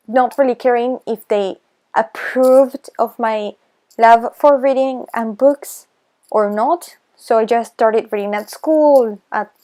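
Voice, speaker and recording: Slow, hesitant Argentinian teen girl. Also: a little high, darkish tone. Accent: Argentinian Spanish. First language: Spanish. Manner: slow, hesitant, self-conscious, many pauses. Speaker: booktuber. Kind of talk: casual voice-over vlog.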